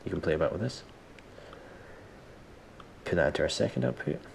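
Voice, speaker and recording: Very deep, gravelly-voiced man teaching software. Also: lively intonation, a little fast, a little hiss or hum. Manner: informal, self-deprecating, thinking aloud. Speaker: tutorial presenter. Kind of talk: casual screen-recorded software tutorial.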